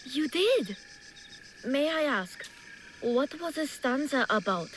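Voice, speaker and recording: Earnest, high-voiced young lord. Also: female voice, lively intonation, slow pace, a bit bright, a little hiss or hum, exaggerated voice, low-quality recording. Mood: shaken, polite. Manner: courteous, earnest. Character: polite, earnest young noble boy, dutiful and vulnerable. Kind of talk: dramatic game cutscene acting.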